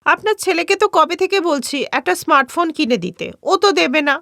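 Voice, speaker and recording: Woman playing young daughter-in-law, cheeky, boastful, chatty, high lively voice, cartoon story. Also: fairly quick, vocal fry, no room sound, clean studio sound, exaggerated voice. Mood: cajoling, confident. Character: confident, cheeky young daughter-in-law who loves spicy food.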